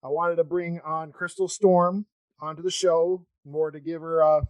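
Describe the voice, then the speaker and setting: Higher-voiced man hosting a podcast. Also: little pitch movement, normal speed, darkish tone, no echo, rough recording. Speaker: podcast host. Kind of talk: casual interview chat.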